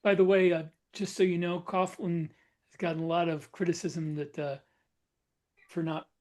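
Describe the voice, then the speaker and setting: A man co-hosting a podcast who chimes in with quick fact-checking asides during casual chat. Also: a little high, flat delivery, darkish tone. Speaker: podcast co-host.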